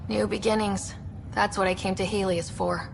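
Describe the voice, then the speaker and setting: A woman's voice: medium pitch, steady tone, normal speed, vocal fry, background noise, natural voice. A woman playing an upbeat, hopeful young explorer who leads a space crew in a video game. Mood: sincere, light. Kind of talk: video game cutscene acting. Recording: music behind the voice.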